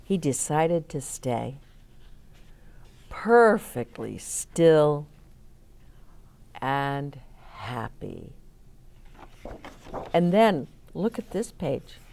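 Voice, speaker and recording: A woman's voice: low voice, sing-song, very slow, gravelly, long pauses, a little mellow. A woman hosting a story time for young children, reading aloud and chatting expressively, explaining things simply and asking the children questions.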